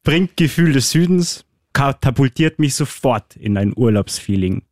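A man in a casual podcast chat, speaking in an unhurried, plain-spoken way. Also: medium pitch, expressive, faint music behind.

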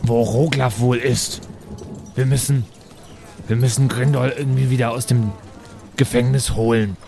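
A man playing a naive, sulky adventurer who mutters to himself in halting, childlike phrases, in an improvised fantasy audio play. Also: mid-range voice, fairly even intonation, creaky, mellow, soft background music. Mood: sulky, brooding.

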